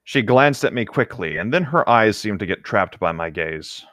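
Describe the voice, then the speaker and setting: A man's voice: fairly low pitch, lively intonation, normal speed, fairly bright tone, dry recording, clean studio sound. A man reading a novel aloud as co-host of a read-along, with casual commentary.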